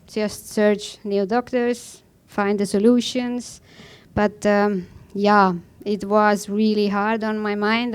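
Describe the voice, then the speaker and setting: Clear-voiced woman with an Estonian accent. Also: medium pitch. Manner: blunt, informal, non-native phrasing. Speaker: panel guest, professional snowboarder. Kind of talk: conversational personal account on a panel.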